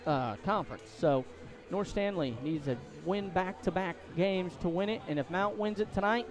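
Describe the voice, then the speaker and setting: A man doing excitable live play-by-play commentary of a high school football game, outdoors at the stadium. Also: moderate pace, over music. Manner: excitable, voice climbs to shouts on big plays. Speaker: play-by-play sports announcer.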